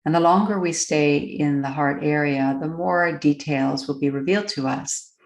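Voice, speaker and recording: A woman leading a guided meditation, speaking gently and deliberately, with long pauses between phrases. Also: low voice, echoey room. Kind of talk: slow, unscripted guided meditation.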